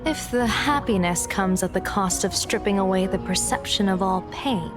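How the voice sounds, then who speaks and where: An actress playing a calm, aloof young woman in a video game, measured and soft-spoken. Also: mid-range voice, natural voice. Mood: detached. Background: game music.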